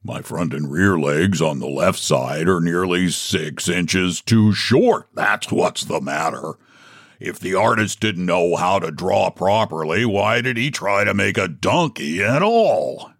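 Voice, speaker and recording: A man's voice: sits low, expressive, takes it slowly, a bit bright, no echo, clean studio sound, exaggerated voice. A man voicing an angry, clumsy, grumbling donkey in a children's story, with a blunt manner.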